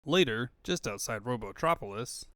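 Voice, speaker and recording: A man's voice: mid-range voice, expressive, moderate pace, a bit bright, no echo, studio quality. A man reading scripted narration for a comic book fandub.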